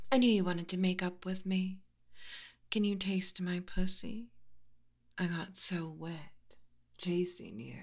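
A young woman voicing a teasing, commanding female spirit with a tail who keeps a library, speaking in a soft whisper with low laughs. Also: heavily acted. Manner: mocking, playful, commanding. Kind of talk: whispered audio roleplay.

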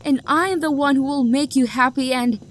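A woman's voice: fairly high pitch, takes it slowly, fairly bright tone, slight background noise. A young woman acting an obsessive, possessive lover who hunts for the one she adores, her voice sweet and menacing and often sinking to a whisper. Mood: pleading, unhinged. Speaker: voice actor. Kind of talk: audio roleplay acting.

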